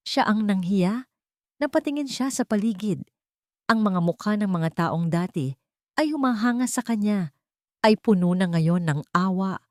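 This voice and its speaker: A woman's voice: medium pitch, darkish tone, dry recording, clean studio sound. A woman reading a scripted love story as a dramatic narrator.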